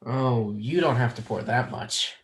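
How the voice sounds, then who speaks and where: A woman's voice: rumbling low, a little slow. A young woman playing a guarded, dry-humored researcher in a dramatic script reading. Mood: wary. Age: young adult.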